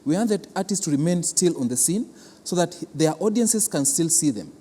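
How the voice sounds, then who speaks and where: A man with a Kenyan accent explaining a programme in an interview as an official, deliberate and repeating words for emphasis. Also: fairly high pitch, lively intonation, dark tone. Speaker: cultural centre official being interviewed. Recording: reverberant hall.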